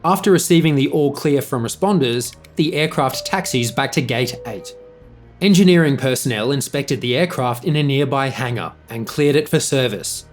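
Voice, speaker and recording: Male narrator, medium pitch, scripted documentary style, measured, factual. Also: faint music behind.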